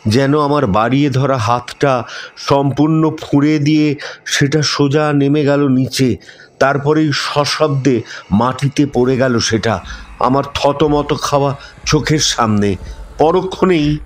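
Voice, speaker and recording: A man narrating a scripted ghost story in a dramatic, suspenseful style with measured phrasing. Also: mid-range voice. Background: instrumental music.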